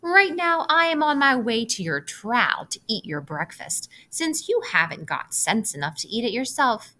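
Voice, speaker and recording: A woman's voice: a little high, very animated, moderate pace, pushed and bright, pitched above the performer's own voice. A woman voicing a sour, gluttonous male rat in a storybook, scornful and emphatic. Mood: sour, boastful.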